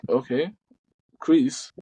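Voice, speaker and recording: A man's voice: mid-range voice, steady tone, drawn-out words, mellow, husky. A man hosting a casual podcast over a video call, talking loosely with many fillers and restarts. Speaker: podcast host.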